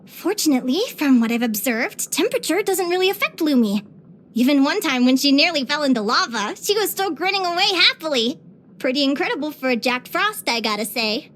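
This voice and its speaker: Game casting: young female naturalist-explorer, very high voice, cheerful, earnest, full of wonder. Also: animated, brisk, a bit bright. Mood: eager, animated. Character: cheerful, curious young woman adventurer and naturalist. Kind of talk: video game character voice lines.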